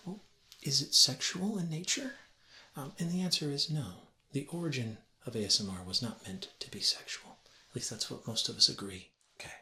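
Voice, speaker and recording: Bright, echoey, soft-spoken male ASMR teacher. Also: fairly low pitch. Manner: gentle, deliberate, instructional. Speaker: ASMR creator playing a teacher. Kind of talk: ASMR roleplay lesson, soft-spoken explanation. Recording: roomy echo with faint ambient noise.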